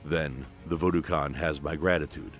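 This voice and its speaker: A man voicing a proud, distrustful young king in a clipped, measured manner, for a comic-book retelling. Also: rumbling low, steady tone, a bit bright, soft background music, voice pushed down. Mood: stern.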